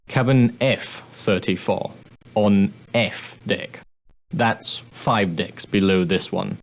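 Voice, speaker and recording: Prim, low-voiced ship's steward. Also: male voice, poor audio. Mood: discreet, formal. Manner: formal, deferential, precise, politely dry. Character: prim, proper, straight-laced ship's steward on an ocean liner. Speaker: video game character. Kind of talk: scripted game dialogue, giving guidance.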